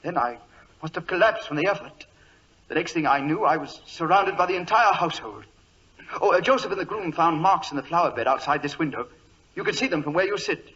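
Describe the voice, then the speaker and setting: Frail agitated gentleman, bright raised voice, earnest delivery, vintage lo-fi radio acting. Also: a little high, a little fast. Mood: earnest, shaken. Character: anxious, frail young gentleman recounting a burglary. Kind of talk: 1940s radio drama acting. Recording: dull vintage radio recording.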